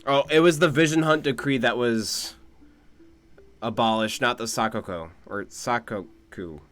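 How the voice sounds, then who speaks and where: A man's voice: medium pitch, unhurried, a bit bright. A man giving casual live game commentary as a streamer, musing aloud. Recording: music behind the voice.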